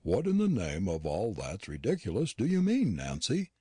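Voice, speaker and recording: A man voicing a curt, disdainful gentleman dentist who talks down to his housekeeper. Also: fairly low pitch, wildly swooping pitch, normal speed, breathy edge, dry recording, studio quality, heavily acted. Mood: curt scornful. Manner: curt and scornful.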